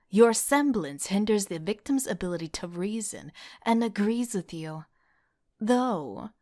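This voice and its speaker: Audio drama narrator, female actor: young leader, dry-witted, unhurried, lowish soft breathy delivery, close dry sound. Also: no room sound. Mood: firm, blunt.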